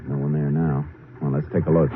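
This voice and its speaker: Deep-voiced, steady Texas Ranger. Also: male voice, a little fast. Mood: watchful, terse. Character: steady, tough male Texas Ranger on a manhunt. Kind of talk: 1950s radio drama acting. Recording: noisy, narrow-band old radio recording.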